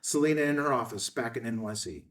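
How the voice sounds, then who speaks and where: Measured male script narrator, distant mic. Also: medium pitch, a bit bright. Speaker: narrator reading stage directions. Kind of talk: scripted narration at a table read.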